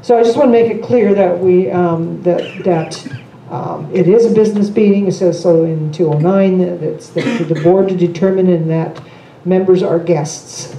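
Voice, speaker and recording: A woman's voice: fairly low pitch, gravelly, dark tone, slight background noise. Older woman chairing an echoey meeting. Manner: measured, procedural, with frequent ums. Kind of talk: meeting discussion. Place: large meeting room with long conference table. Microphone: table microphone. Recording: echoey room, camera mic.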